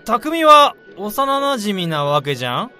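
A man acting out a young guy in a radio drama, high and bright in voice. He whines and sulks in blunt casual speech, with big swoops in pitch. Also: sing-song, takes it slowly, soft background music, heavily acted. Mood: musing, awkward. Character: sulky, whiny young man, lovelorn and tactless.

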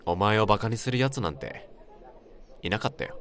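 A man playing a blunt, teasing but caring old friend in a radio drama, speaking casually and roughly. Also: fairly low pitch, animated, fairly quick, a bit bright, a faint crowd. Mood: reassuring.

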